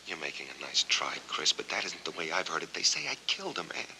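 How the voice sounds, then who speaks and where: Deep, hard-edged haunted noir veteran. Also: male voice, sing-song, fast, vocal fry, bright, forceful tone, exaggerated voice. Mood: weary. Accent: American. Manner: terse, clipped, hard-boiled. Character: haunted amnesiac war veteran, tense, bitter, weary man. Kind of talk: 1940s film noir acting. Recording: faintly noisy 1940s film soundtrack.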